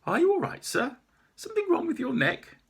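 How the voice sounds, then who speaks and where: A man's voice: very high-pitched, huge pitch swings, fairly quick, creaky, a bit bright, voice pushed up, exaggerated voice. Man doing a polite, unflappable police officer. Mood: puzzled.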